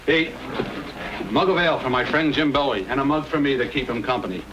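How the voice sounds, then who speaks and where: An actor playing a gregarious, folksy frontier storekeeper in an old-time radio drama. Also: male voice, mid-range voice, fairly quick, muffled recording, close to an everyday voice. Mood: hearty. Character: hearty, gregarious frontier storekeeper, jovially suspicious. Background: busy crowd chatter.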